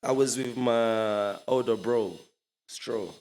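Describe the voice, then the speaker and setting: A young man, a podcast guest, telling stories in a relaxed, casual way and laughing often. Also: mid-range voice, takes it slowly. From Zimbabwe. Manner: relaxed, laughs often, mixes in local words. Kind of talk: casual storytelling chat.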